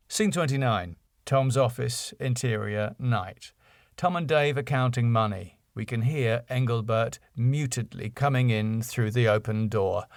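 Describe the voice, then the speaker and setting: A man's voice: medium pitch, no echo. British man, close dry studio sound. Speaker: podcast host and writer. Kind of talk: scripted screenplay reading and casual podcast talk.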